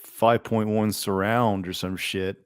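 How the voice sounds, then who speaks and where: A man's voice: lowish voice, steady tone, takes it slowly, clean tone, dry recording, clean studio sound. A man co-hosting a podcast in loose, casual conversation. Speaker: podcast co-host. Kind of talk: casual chat.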